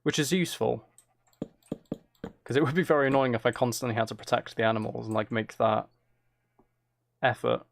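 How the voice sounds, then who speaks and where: A man with noticeable fry in his mid-pitched voice talks casually through a game. He thinks aloud in loose fragments, correcting himself and chatting to viewers as he goes. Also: fairly even intonation, brisk, long pauses, no echo. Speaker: streamer playing a building game.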